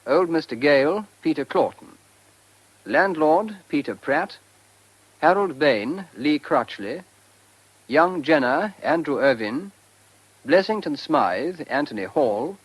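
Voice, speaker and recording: A man with a husky voice, reading credits formally as a radio announcer. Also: on the high side, expressive, slow pace, no room sound, poor audio.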